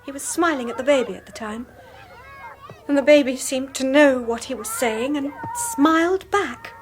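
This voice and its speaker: An actress playing an anxious, troubled young mother in a British radio drama, speaking with an English accent in a tense, halting, confiding way. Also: high-pitched, lightly acted. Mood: troubled, uneasy. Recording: faint noise on radio broadcast.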